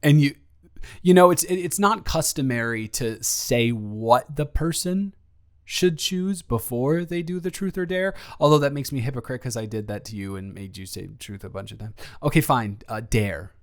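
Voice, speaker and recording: A young man playing an awkward, eager, rambling ex-boyfriend in an improvised romantic roleplay audio, stammering and halting as he talks. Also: mid-range voice, lightly acted. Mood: teasing, sheepish.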